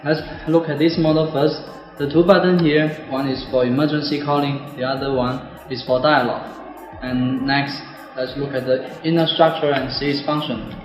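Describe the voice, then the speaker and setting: A man's voice: mid-range voice, fairly even intonation, moderate pace. Echoey young man with presenting. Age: 20s. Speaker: product presenter. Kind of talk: product demonstration, scripted narration. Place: bare indoor office or classroom. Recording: very echoey room, music behind.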